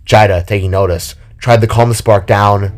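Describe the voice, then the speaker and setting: A man reading dramatic scripted narration in a slow, theatrical, deliberate manner. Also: lowish voice, steady tone. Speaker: narrator and voice actor of an audio drama. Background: music.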